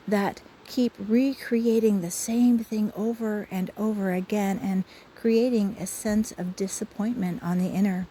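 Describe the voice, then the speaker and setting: Woman, guided imagery, unhurried, soft mellow tone, soothing, measured pauses, faint outdoor river ambience. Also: medium pitch, slight background noise. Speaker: guided imagery host and soul coach. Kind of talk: guided meditation talk. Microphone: phone.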